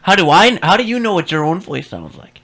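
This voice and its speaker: A man's voice: sits high, animated, fairly quick, pushed and bright. A young man hosting a radio show, chatting casually and posing probing rhetorical questions. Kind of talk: casual on-air chat.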